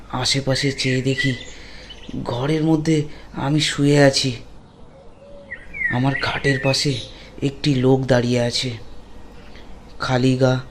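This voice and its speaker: A man's voice: medium pitch, background noise. A man narrating a cartoon ghost story in the first person, with dramatic delivery.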